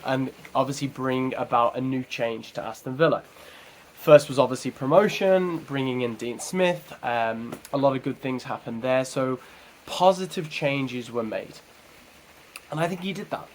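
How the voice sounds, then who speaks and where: A man's voice: mid-range voice, moderate pace, a little hiss or hum, low-quality recording. A man hosting a fan channel, talking casually on his own, often correcting himself mid-sentence. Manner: chatty, self-correcting, rambling. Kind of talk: casual solo commentary.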